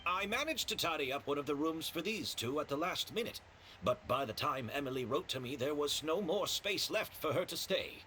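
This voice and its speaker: A man's voice: a little high, animated, a little fast, bright, forceful tone, a little hiss or hum. An actor playing a courteous, apologetic male hotel host in a video game, with a polite, explanatory way of talking.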